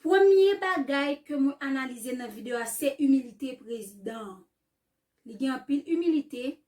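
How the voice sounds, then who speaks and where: A woman's voice: sits high, lively intonation, normal speed, darkish tone, far from the mic. A woman hosting her own channel, commenting on a video in a chatty way and asking rhetorical questions. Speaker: channel host.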